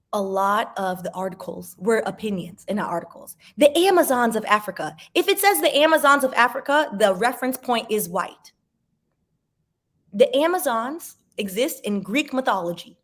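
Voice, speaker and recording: Animated woman chatting to viewers. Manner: animated, jumps in pitch for emphasis; talks to viewers directly, sips wine. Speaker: vlogger reading viewer comments. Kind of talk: casual commentary chat.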